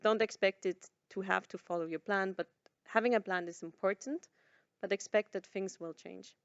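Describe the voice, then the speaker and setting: A woman's voice: medium pitch, fairly even intonation, moderate pace, dry recording, highs cut off. A woman who grew up in Switzerland, answering a forum question at length with many fillers and restarts. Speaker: social entrepreneur answering questions. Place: conference room.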